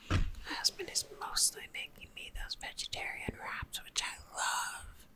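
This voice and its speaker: A woman rambling in a chatty, close-mic whisper, talking to a friend in an ASMR video. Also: unhurried. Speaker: ASMR creator.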